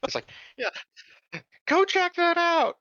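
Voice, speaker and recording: A man's voice: very high voice, big pitch swings, slow pace, sharp tone, no room sound, lo-fi sound. A man playing a tabletop roleplaying game, chatting casually as he works through his options.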